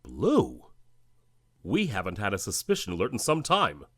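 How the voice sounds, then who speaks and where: An actor playing a stern, calculating government director in an audio drama, clipped, deliberate and authoritative. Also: male voice, on the low side, wildly swooping pitch, husky, dry recording, clean studio sound, full character voice. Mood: brisk, probing.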